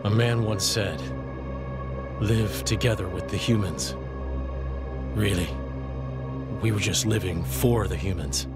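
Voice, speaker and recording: An actor playing a weary, bitter warrior with grim resolve in a video game cutscene, speaking gravely. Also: male voice, on the low side, takes it slowly, pauses often. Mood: bitter, brooding. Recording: loud ominous music behind.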